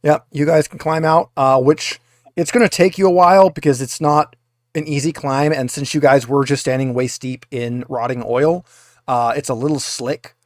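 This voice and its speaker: A man narrating a tabletop roleplaying game as game master, in a casual, halting conversational way. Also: medium pitch, fairly even intonation, no room sound, clean studio sound.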